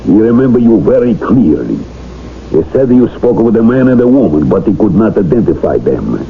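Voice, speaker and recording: An actor playing a stern, insistent police interrogator in a radio drama, speaking formally with cold politeness. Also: male voice, fairly low pitch, very animated, fairly quick, creaky. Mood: stern, pressing. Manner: formal, clipped, coldly polite. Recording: muffled, noisy old radio broadcast.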